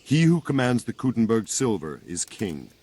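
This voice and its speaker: A man's voice: mid-range voice, very animated, normal speed, a little mellow, breathy edge. An actor playing a seasoned, plain-spoken soldier captain in a video game, patiently explaining things to a younger man. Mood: grave, instructive. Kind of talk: video game cutscene acting.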